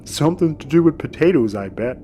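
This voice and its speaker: A man's voice: fairly high pitch, lively intonation, a little fast, warm tone, faint music behind, lightly acted. A man playing a gullible, earnest young spaceman and eager suitor in a sci-fi audio comedy.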